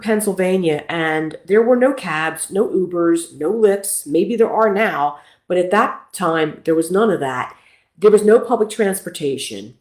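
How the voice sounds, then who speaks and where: A woman's voice: fairly low pitch, darkish tone, echoey room. A middle-aged woman from Philadelphia, a nurse practitioner hosting a casual livestream talk in a chatty, self-deprecating way.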